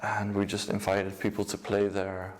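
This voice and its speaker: A young man with a Dutch accent, a music producer and DJ, giving a casual, low-key account of his work. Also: monotone, moderate pace. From Netherlands.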